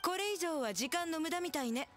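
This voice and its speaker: A woman's voice: very high voice, lively intonation, fairly quick, music in the background. A woman playing a stern, impatient young guild worker in a Japanese anime game, curt and dismissive. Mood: annoyed, dismissive.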